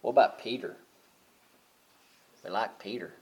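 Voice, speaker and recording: A middle-aged man preaching a sermon, talking casually and then swelling loud and high on key points. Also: unhurried, husky. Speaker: pastor.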